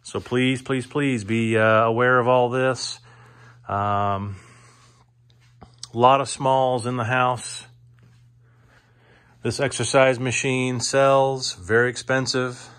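A man giving an informal auctioneer's walk-through of items for sale inside a house, speaking haltingly and repeating himself for emphasis. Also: mid-range voice, little pitch movement, fairly bright tone, smooth. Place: indoors, moving through rooms of a large house. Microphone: handheld phone or camera, held by the speaker.

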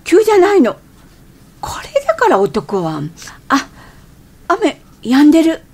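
An actress playing an irritable, changeable young wife in a radio drama, expressive and exclamatory. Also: female voice, very high-pitched, unhurried, exaggerated voice. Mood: urgent. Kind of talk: radio drama acting with inner monologue.

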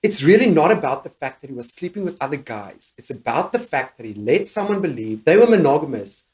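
A campy friend, roomy sound. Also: male voice, a little high, sing-song, brisk, darkish tone. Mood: discreet, serious. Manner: sassy, slangy, laughing at own jibes. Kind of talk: radio drama dialogue. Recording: roomy, echoing radio drama sound.

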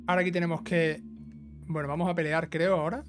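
A man's voice: fairly high pitch, flat delivery, fast talker. A man giving a casual, instructional walkthrough of a video game, often adding short check-in tags. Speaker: gaming YouTuber giving a trophy guide. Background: background music.

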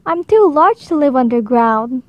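A shy, hesitant young hippo speaks gently and a little unsure of himself, as a woman puts him on while reading a storybook. His voice sits high and moves slowly, and the sound is dry and close. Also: animated, no room sound. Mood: timid.

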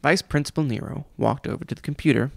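A man's voice: fairly high pitch, fast talker, creaky, no echo, studio quality. A father reading a children's storybook aloud to his child in an audiobook style.

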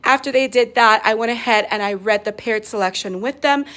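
A woman's voice: a little high, brisk. Chatty woman teacher vlogging to her phone. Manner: chatty, animated, gestures while talking. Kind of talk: casual classroom vlog recap. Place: empty classroom, indoors. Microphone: phone at arm's length.

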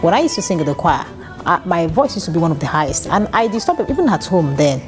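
Woman, Nigerian accent, thirties, animated and laughing, lapel mic, music playing underneath. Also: lowish voice, quick pace. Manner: animated, laughs often, restarts sentences. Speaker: guest musician. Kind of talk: casual interview chat. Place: dark television studio set, seated in armchair.

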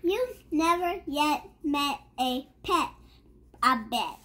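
A child reading a rhyming picture book aloud in a halting way, sounding out and repeating words. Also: sits high, lively intonation, bright, forceful tone. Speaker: young reader.

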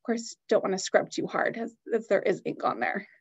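A woman's voice: medium pitch, steady tone, fairly quick, breathy edge. A woman giving an upbeat, enthusiastic product presentation on a video call.

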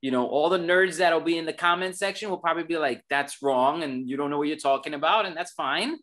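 A man's voice: on the high side, fairly quick, a bit bright. A man in his thirties hosting a casual video call from home, rambling and laughing often. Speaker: host. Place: home room indoors. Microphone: webcam on video call.

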